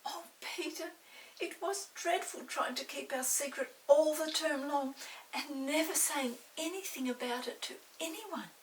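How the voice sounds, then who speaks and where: A woman voicing an eager, earnest young schoolgirl in a storybook, with emphatic excitement. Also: high voice, a little slow, voice pushed up. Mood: excited, earnest. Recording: hissy, distant room microphone.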